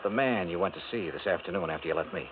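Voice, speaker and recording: A man's voice: sits low, fast talker, music in the background, natural voice. Man acting a hardboiled detective on old radio. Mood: quietly accusing. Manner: clipped, sardonic, pressing. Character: hardboiled, wry, persistent male freelance insurance investigator. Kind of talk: golden age radio detective drama acting. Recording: old radio recording, narrow phone-like band.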